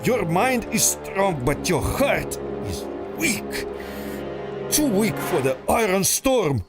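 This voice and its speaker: A man's voice: high-pitched, big pitch swings, slow, over loud music, exaggerated voice. A man playing a pompous villainous thief. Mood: cold. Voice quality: haughty and theatrical, spits insults. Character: pompous scheming male villain, an antiquities thief. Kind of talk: audio drama acting.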